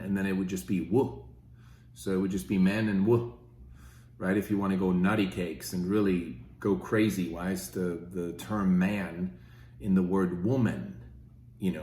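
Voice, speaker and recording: A man's voice: low-pitched, a little mellow, reverberant, slight background noise. A fifty-year-old man from Switzerland, talking casually and rambling with many fillers in his own opinion video. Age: 50s. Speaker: podcast host.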